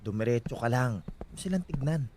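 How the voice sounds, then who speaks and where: An actor playing a confident, protective newlywed husband in a radio drama, soothing yet insistent. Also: male voice, medium pitch, lively intonation, brisk, warm tone, slight background noise, lightly acted. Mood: urging calm.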